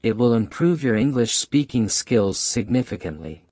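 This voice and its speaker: A man's voice: medium pitch, lively intonation, moderate pace, darkish tone, dry recording, clean studio sound. A man with a Hong Kong Cantonese accent, a native Cantonese speaker, giving motivational advice to his viewers, emphatic and repeating key phrases. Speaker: channel host giving language advice. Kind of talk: motivational talk to camera.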